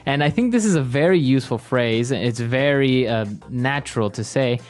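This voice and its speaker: A man's voice: medium pitch, expressive, normal speed, faint music behind. A man co-hosting an English-learning podcast, agreeing with his partner and adding examples in casual chat. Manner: agreeable, affirms and adds examples. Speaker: podcast co-host, English teacher.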